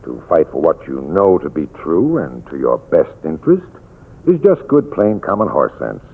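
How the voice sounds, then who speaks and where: Gravelly, swooping army major. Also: male voice, very deep voice, wildly swooping pitch, moderate pace, exaggerated voice. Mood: genial, curious. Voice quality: gravelly, husky. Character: plain-spoken, hearty older military major. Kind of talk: 1940s radio drama acting. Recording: tinny 1940s radio recording.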